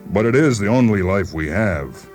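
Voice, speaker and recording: A man playing a calm, reasoning doctor in a dramatized story, measured, hesitant and placating. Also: fairly low pitch, faint music behind, natural voice. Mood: patient, resigned. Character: calm, reasoning male doctor humoring a troubled patient. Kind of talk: dramatized short story reading.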